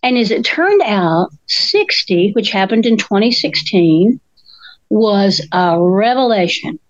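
A woman, co-hosting a casual chat in a slow, thoughtful way. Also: mid-range voice, animated, dry recording, dull audio. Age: 60s. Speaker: co-host.

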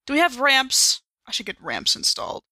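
A woman's voice: high voice, wildly swooping pitch, normal speed, bright, forceful tone, dry recording, clean studio sound. A woman playing a tabletop game with casual, joking banter.

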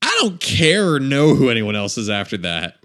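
A man's voice: medium pitch, huge pitch swings, moderate pace, a bit bright. A man co-hosting a casual film review, speaking in a blunt, informal way. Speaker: movie discussion co-host.

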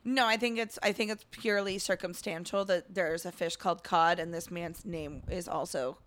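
A woman co-hosting a casual comedy podcast, telling stories and slipping in deadpan joke bits. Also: on the low side, normal speed. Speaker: podcast co-host.

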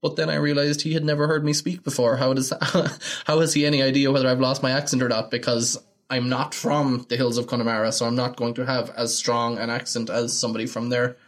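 A man's voice: mid-range voice, flat delivery, fairly quick. A man in his twenties with an Irish accent from Galway, co-hosting a casual podcast and telling stories in a chatty way. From Ireland. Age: 20s. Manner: chatty storyteller, acts out quoted people in raised voices. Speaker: podcast co-host.

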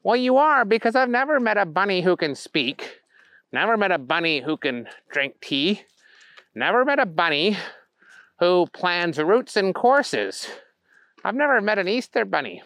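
A man voicing a dim, naive, bumbling male character in a children's story, puzzled and slow-witted. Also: high voice, sing-song, fairly bright tone, no echo, pitched above the performer's own voice, full character voice. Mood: blunt, curious.

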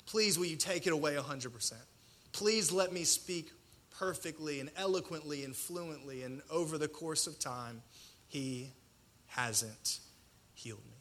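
A man in his thirties preaching a personal testimony in a colloquial, self-deprecating way, with dramatic pauses and a slight stutter. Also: a little high, a bit bright, a little hiss or hum. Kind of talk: personal testimony sermon to an audience.

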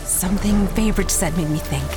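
A woman playing a daring, quick-thinking adventurer with an earnest, urgent manner in an action drama. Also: fairly low pitch, lightly acted. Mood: careful resolve. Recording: loud music behind the voice.

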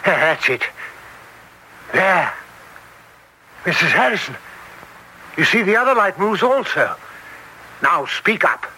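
Proud young-blooded baronet type: stubborn, commanding, swinging intonation in radio drama style, noisy vintage recording. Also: male voice, high voice, sing-song, slow, long pauses, sharp tone, exaggerated voice. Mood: stern, suspicious. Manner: commanding, impatient. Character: proud, stubborn, headstrong lord of the manor. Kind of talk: old-time radio drama acting.

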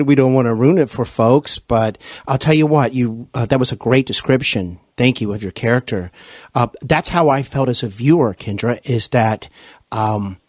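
A man hosting an interview show, talking conversationally in an effusive, deliberate manner. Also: mid-range voice, a little mellow, dry recording, studio quality.

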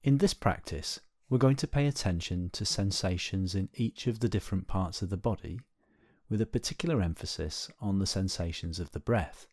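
A man with a lowish voice leads a guided meditation. He gives gentle, unhurried instructions and leaves space between phrases. The sound is very dry and close, with hardly any room around it. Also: dry recording. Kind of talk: guided body scan meditation.

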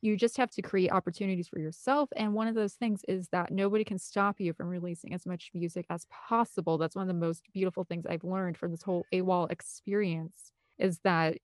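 A woman's voice: lowish voice, fairly even intonation, a little fast, few pauses, no echo, studio quality. A woman speaking as an interview guest, talking candidly and emphatically in a rambling, opinionated way. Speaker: interview guest, film composer and director.

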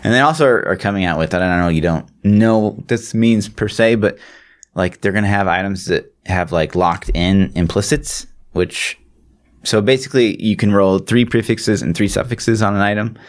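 A man's voice: fairly low pitch, normal speed. A man co-hosting a podcast, explaining things in a rambling, slangy, casual way with some swearing. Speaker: podcast co-host. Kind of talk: casual gaming chat.

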